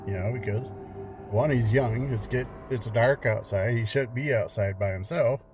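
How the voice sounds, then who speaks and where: A man's voice: mid-range voice, moderate pace. A middle-aged man casually telling a spooky personal story in a conversational way, as a paranormal investigator. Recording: dull lo-fi sound under loud music. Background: loud music.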